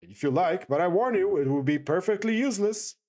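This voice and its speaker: A man's voice: high voice, lively intonation, a little fast, darkish tone. A man voicing a precise little old doctor who speaks in a blunt, matter-of-fact way.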